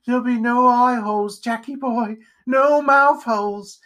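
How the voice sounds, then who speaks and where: A narrator voicing a witchy, cruel mother in a storybook tale, taunting her son in a high-pitched, sing-song menace. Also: unhurried, a bit bright, natural voice. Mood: mocking menace.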